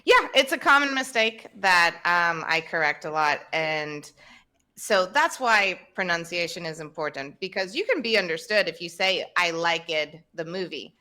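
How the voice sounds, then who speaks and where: A woman, hosting a podcast and explaining English pronunciation clearly over a video call. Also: on the low side, animated, normal speed, sharp tone. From Tennessee, United States. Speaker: podcast host and English teacher. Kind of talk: casual teaching chat. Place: home room, indoors. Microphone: video call, webcam.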